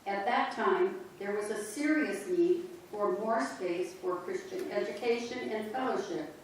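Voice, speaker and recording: A woman tells a story in an unhurried, measured way. Her voice is recorded from a distance and rings through a large, reverberant church sanctuary. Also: mid-range voice, a little hiss or hum. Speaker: narrator. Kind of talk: reader's theater narration.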